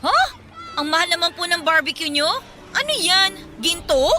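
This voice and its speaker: A woman's voice: very high-pitched, big pitch swings, moderate pace. An actress playing a cheeky, food-loving young woman in a Filipino radio drama, sassy and playful yet polite to elders. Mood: playful, haggling. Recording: music under the dialogue.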